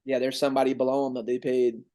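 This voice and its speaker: Guest, male, mid-twenties; average pitch, casual, meandering delivery. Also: quick pace, clean tone. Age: 20s. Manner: relaxed, rambling explanations with many restarts. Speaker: pro baseball player, podcast guest. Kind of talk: casual chat.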